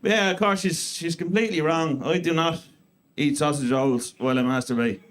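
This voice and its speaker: A man in his thirties with an Irish accent, doing a deadpan, self-mocking stand-up set in a comedy club. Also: fairly high pitch, normal speed. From Ireland. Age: 30s. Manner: deadpan self-mocking delivery with crude punchlines. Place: comedy club stage before a live audience.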